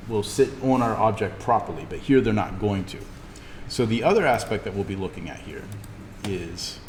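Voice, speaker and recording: A man giving an informal in-class software tutorial, explaining step by step as he demonstrates. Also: on the low side. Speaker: instructor. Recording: reverberant room with background noise.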